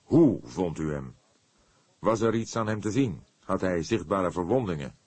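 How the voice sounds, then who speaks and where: A man's voice: low voice, lively intonation, a little slow, a little mellow, husky, no echo, heavily acted. A man playing a stern, taut old police detective in an audiobook, asking questions in a terse, commanding way. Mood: stern, urgent.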